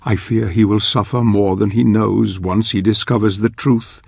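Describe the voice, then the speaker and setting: An actor playing a grave, foreboding older chronicler, narrating a dark fantasy game in a solemn, theatrical, measured manner. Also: male voice, lowish voice, fairly even intonation, vocal fry, husky, dry recording, clean studio sound. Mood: solemn, ominous.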